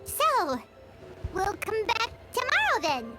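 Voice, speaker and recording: Tiny eager game sidekick, super high squeaky, swoops everywhere, loud music behind. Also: female voice, wildly swooping pitch, slow pace, full character voice. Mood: agreeable. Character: tiny, childlike, eager, food-loving companion creature. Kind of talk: scripted video game voice acting.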